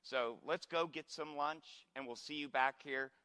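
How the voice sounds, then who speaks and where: A man moderating a conference panel and making announcements in an upbeat, brisk manner. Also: fairly high pitch, pushed and bright, clear, resonant tone. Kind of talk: event hosting. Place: conference stage in a large hall. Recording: long reverb of a large hall.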